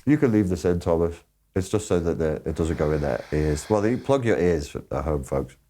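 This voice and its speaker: Male host, very deep voice, casual interviewer who asks probing questions and makes dry jokes. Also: animated, normal speed, warm tone. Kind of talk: casual conversation.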